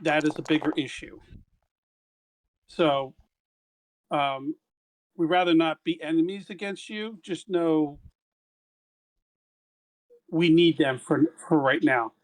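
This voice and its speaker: Halting man playing a commander. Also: fairly high pitch, rough recording. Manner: halting, restarts mid-sentence. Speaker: player. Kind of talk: in-character tabletop roleplay.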